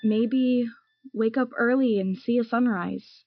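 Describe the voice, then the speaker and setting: A woman as gentle advice-giving girl Alice. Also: on the high side, slow pace, a little hiss or hum. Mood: encouraging. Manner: gently advising, patient. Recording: dull lo-fi recording.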